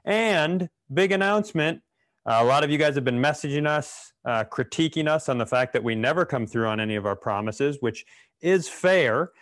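A man's voice: on the high side, animated, normal speed, a bit bright. A man co-hosting a comedy podcast, chatting casually in a self-deprecating, rambling way. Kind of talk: casual comedic banter and announcements.

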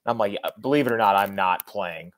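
A man's voice: on the low side, fast. A man giving casual, emphatic sports analysis as a guest on a podcast.

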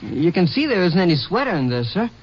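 A man's voice: sits high, sing-song, brisk, slight background noise. A male performer playing a sheepish teenage ranch boy, hesitant, evasive and deferential, in a 1950s western radio drama. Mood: defensive. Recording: muffled 1950s radio recording.

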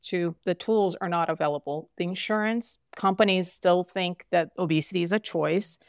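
A woman's voice: lowish voice, normal speed, dry recording, studio quality. A middle-aged woman from Brazil, a physician guest speaking earnestly and emphatically in conversation, with frequent fillers. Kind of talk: conversational interview.

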